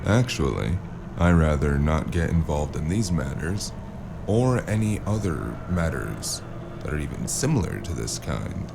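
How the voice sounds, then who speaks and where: A man playing a wary amnesiac drifter in a noir audio drama, hesitant and wry, with a very deep, gravelly voice. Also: very animated, measured pace, background noise, full character voice. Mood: wary, placating. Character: wary amnesiac male drifter narrating his noir tale. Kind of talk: noir audio drama acting and first-person narration.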